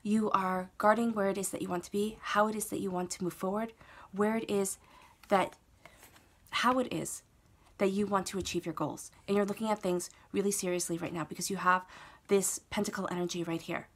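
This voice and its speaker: A woman giving a tarot reading on her own, her voice stuffed up from a cold. She speaks in a steady, reassuring way, circling back over phrases and pausing to check in with the listener. Her pitch sits in an ordinary middle range for a woman. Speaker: tarot reader. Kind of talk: solo tarot reading to camera.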